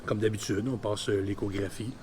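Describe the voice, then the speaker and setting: A man's voice: lowish voice, fairly even intonation, fairly quick, a little mellow, a little hiss or hum. A man of about fifty with a Quebec French accent, telling a personal story candidly and colloquially in a vlog. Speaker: vlogger.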